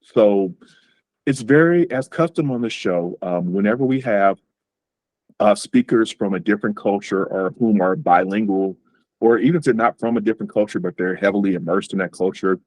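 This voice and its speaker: A man's voice: lowish voice, normal speed, darkish tone, no echo, rough recording. A man hosting an interview over a video call, speaking casually with many fillers and repeated words. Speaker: host.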